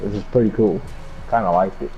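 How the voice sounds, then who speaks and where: A man's voice: fairly low pitch, steady tone, a little fast, faint music behind. A young man telling a personal story casually in a laid-back, slangy way with some swearing. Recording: dull lo-fi mic with background noise.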